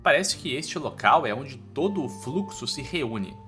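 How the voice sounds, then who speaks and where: A man's voice: mid-range voice, animated, a little slow, a bit bright. A man with a Brazilian accent narrating a story recap from a script in an informal style, with music behind him.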